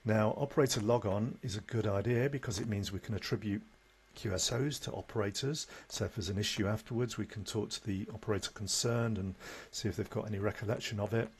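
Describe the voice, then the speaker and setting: A man presenting an unscripted, conversational software tutorial, explaining things step by step as an instructor. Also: fairly low pitch, fast, breathy edge. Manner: instructional, conversational, with tag questions. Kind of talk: unscripted screen-recording tutorial. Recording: noticeable background noise.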